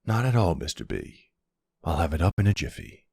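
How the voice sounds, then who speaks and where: A man's voice: low-pitched, very animated, creaky, darkish tone, breathy edge, no echo, studio quality, exaggerated voice. A man voicing a polite, obliging male butler serving dinner in an ASMR roleplay. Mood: courteous. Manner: courteous, brisk servant's politeness.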